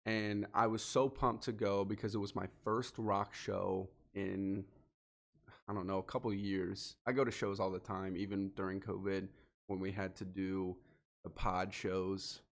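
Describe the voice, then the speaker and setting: Male podcast host, somewhat low pitch, sniffly from allergies, earnest and direct motivational delivery. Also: level tone, unhurried, creaky. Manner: earnest, direct, speaks to the listener. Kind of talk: solo motivational podcast monologue.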